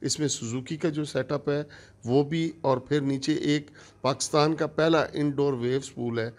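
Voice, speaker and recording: A man's voice: a little high, steady tone, a little slow, darkish tone. A middle-aged man giving a formal introduction as a company operations manager, steadily listing items one by one, speaking into a handheld mic in a conference room.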